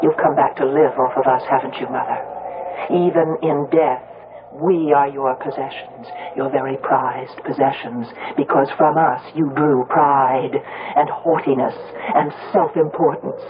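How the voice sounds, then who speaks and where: An actress playing a haunted, grieving daughter in a radio drama, with intense, theatrical, deliberate phrasing. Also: female voice, a bass voice, steady tone. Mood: bitter, disbelieving. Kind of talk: 1970s radio drama acting with dramatic narration. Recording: tinny, noisy old radio broadcast.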